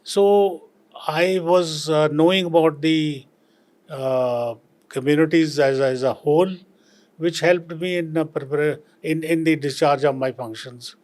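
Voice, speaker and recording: Older punjabi guy w/ indian accent, talks slow, lots of uhs, kinda high voice. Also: darkish tone. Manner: slow and reflective, many fillers and restarts. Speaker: retired civil servant guest. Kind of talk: interview answers.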